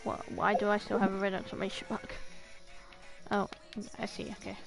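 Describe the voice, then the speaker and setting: A woman's voice: medium pitch, completely flat delivery, normal speed, vocal fry. A woman livestreamer chatting casually to herself in short phrases while playing a video game. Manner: muttering to self in short phrases. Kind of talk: casual gameplay chat, thinking aloud. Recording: music playing behind the voice.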